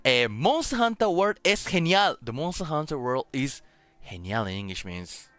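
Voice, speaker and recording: Bright, lively man chatting haltingly. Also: mid-range voice, no room sound. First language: Japanese. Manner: halting, searching for words. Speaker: host of a streamed radio show. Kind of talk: casual chat, switching between English and Spanish. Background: music.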